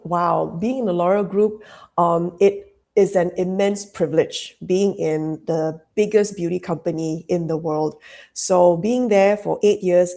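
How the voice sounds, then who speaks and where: A woman's voice: lowish voice, mellow. A woman, a consultant answering interview questions hesitantly, with many fillers. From Malaysia. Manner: hesitant, full of "um" and "you know, like" fillers. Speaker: business consultant, guest.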